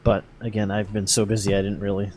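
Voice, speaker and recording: A man hosting a podcast, casually explaining game update news in a halting, hedging way. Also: lowish voice, little pitch movement, a little mellow, a little hiss or hum.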